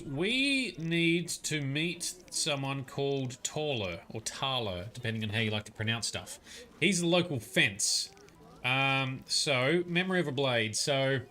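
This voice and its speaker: A man's voice: medium pitch, bright, forceful tone. A young man casually narrating a game on a livestream, chatting with viewers and answering their comments. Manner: chatty, reads and answers chat comments, frequent "um"s.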